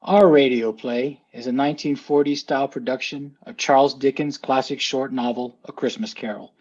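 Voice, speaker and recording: A man reading a scripted introduction to a program in a measured, articulate presenter's delivery. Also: medium pitch, fairly even intonation, a little mellow, dull audio. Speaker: team representative introducing the show.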